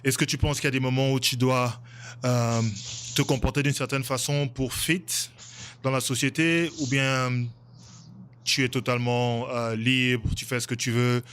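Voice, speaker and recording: A man's voice: mid-range voice, level tone, normal speed, a bit bright, slight background noise. A man hosting a casual interview podcast, asking loose, hesitant questions with frequent restarts. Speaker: podcast host.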